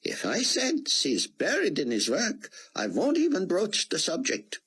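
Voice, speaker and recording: Man doing a kind old scientist guy, gentle and careful, raised pitch, husky. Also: huge pitch swings, unhurried, exaggerated voice. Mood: cautious. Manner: gentle, protective.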